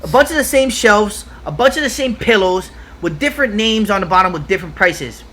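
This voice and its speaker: A man from Hawaii talking in a casual podcast chat, excitable and profane, rambling from one point to the next. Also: high-pitched, a little fast. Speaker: podcast guest.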